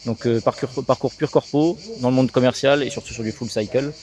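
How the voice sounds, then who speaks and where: A man's voice: mid-range voice, a little fast, darkish tone. A man in his thirties from Alsace giving a talkative, casual interview, peppered with business jargon. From Alsace, France. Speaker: sales agency co-founder being interviewed.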